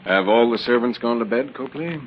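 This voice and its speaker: An actor playing a bossy, crafty crook in the style of 1940s radio drama. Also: male voice, mid-range voice, moderate pace, lightly acted. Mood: commanding. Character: bossy, crafty male crook running a swindle. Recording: dull, hissy old radio recording.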